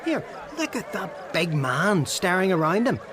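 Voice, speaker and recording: An actor playing an excitable, cheeky young man at a feast in a radio drama. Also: high-pitched, measured pace, crowd noise, noisy background. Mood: eager. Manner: excitable, giggly, hesitant.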